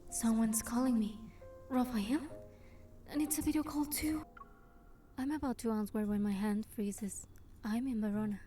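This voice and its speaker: Actress as a mellow young game heroine. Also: female voice, fairly high pitch, normal speed, long pauses, soft background music, lightly acted. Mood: reflective. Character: gentle young woman heroine narrating her secret surprise. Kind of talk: scripted game narration and dialogue.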